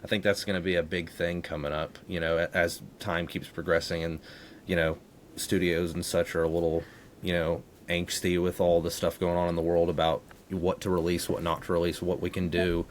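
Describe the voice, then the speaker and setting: Low-voiced, even-toned man chatting over faint rumble. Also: a little fast. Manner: rambling, conversational, frequent "you know". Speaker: podcast host. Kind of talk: casual chat.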